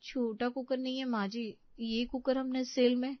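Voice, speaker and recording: A meek young daughter-in-law, polite and eager to please, speaking in a high, light voice, as a woman puts her on. Dull, lo-fi and dry recording. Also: level tone, a little slow, no echo, close to an everyday voice.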